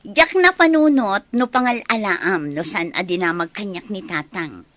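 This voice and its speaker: A woman's voice: medium pitch, moderate pace, a bit bright, no room sound, natural voice. A woman with an Ilocano Filipino accent playing a feisty, questioning younger village woman in a comedy radio drama. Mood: suspicious.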